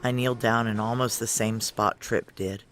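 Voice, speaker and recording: A man's voice: on the low side, fairly even intonation, moderate pace, fairly bright tone, slight background noise, lightly acted. A man playing a tough, controlled security chief who narrates a sci-fi noir audio drama in clipped, terse phrases. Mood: businesslike. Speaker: narrator and lead character.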